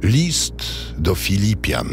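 A man's voice: sits low, wildly swooping pitch, takes it slowly, creaky. A man narrating scripture from a script in a solemn storytelling style. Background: music.

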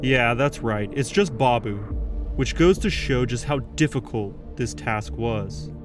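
Male narrator, mid-pitched, earnest dramatic read, clear music bed behind. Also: a little slow. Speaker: documentary narrator. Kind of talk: scripted narration.

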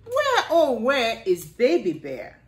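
Woman voicing a caring mother bear, lively and high. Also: slow pace, heavily acted. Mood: calling, caring. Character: caring mother bear searching for her cub. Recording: roomy home echo.

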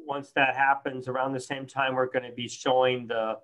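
Flat-toned man chairing a council meeting. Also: medium pitch. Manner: halting, fills pauses with uh and restarts.

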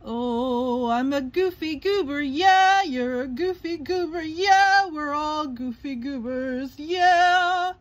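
A woman's voice: high-pitched, slow pace, hardly any pauses, dull audio, voice pushed up. A woman voicing a merry male dancing peanut mascot from a cartoon storybook, chanting in a silly singsong.

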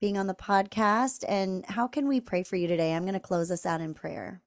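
A woman podcast host closing an interview with a gentle, earnest spoken prayer, with soft fillers along the way. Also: mid-range voice, fairly even intonation, moderate pace, dry recording, studio quality.

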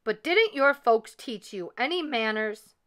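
Storybook mama bear, middle-sized, bright clear voice, firm and maternal, played by a woman. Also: a little high, sing-song, unhurried, exaggerated voice. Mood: stern. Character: middle-sized mother bear, stern but motherly.

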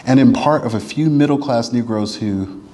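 A man with a mid-pitched voice reads aloud in a solemn, oratorical style. His delivery is measured and formal, like a public reading. The sound carries the long reverb of a large church space. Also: warm tone. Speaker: reader at a church. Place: church sanctuary.

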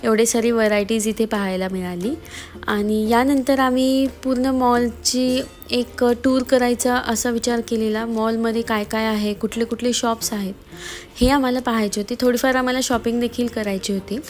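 A woman's voice: a little high, level tone, moderate pace, darkish tone. A woman narrating a family vlog in casual, explanatory voice-over, often repeating her phrasing. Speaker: family vlogger. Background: music.

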